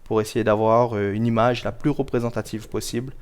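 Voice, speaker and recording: Male interview guest, lowish pitch, smooth clear tone, formal explanatory delivery, measured, careful word choice, frequent pauses. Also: fairly even intonation, a little mellow. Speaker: guest, local government project officer.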